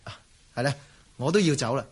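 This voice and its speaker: Husky, courteous wandering swordsman. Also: medium pitch, lively intonation, a little fast. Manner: courteous, inquisitive, theatrical. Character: courteous, curious wandering gentleman swordsman, secretly scheming. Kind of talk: Cantonese radio drama acting.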